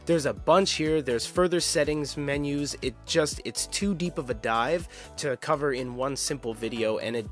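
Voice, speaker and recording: A man's voice: mid-range voice, normal speed, hardly any pauses. A man narrating a casual tech review, explaining things in an informal, emphatic way. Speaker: product reviewer. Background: background music.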